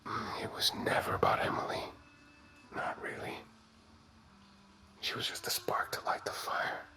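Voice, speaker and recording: Grim obsessed investigator, acted by a man, whispered, very sharp sibilant tone, deliberate, menacing, ASMR drama. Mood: grim resolve.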